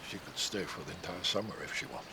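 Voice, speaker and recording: A man's voice: deep voice, fast talker, background noise. An older man acting a plain-spoken, kindly old mountain hermit grandfather in a film drama. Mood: gentle, dry. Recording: film soundtrack with loud music behind.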